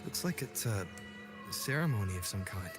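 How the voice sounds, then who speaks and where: A male actor plays a fantasy game's observant traveler. He thinks aloud in a low, measured voice, calm and musing, with music behind him. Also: natural voice. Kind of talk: scripted video game narration. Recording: game dialogue over music.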